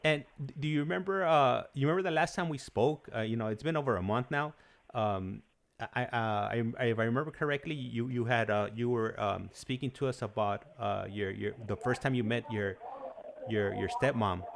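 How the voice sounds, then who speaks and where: A man hosting a live call-in show in a casual, halting, agreeable way, often repeating himself. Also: mid-range voice, creaky. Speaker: host of a livestream call-in show.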